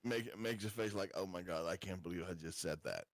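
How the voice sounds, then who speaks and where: A man playing a tabletop roleplaying game, bantering in a joking, halting way. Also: low voice, no echo, clean studio sound. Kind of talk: casual in-character game banter.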